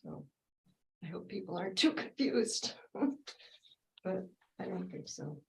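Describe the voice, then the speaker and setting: A woman with a low voice reporting as treasurer at a board meeting, hesitant with fillers and self-corrections. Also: lively intonation, slow pace, creaky, slight background noise, low-quality recording. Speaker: library friends group treasurer.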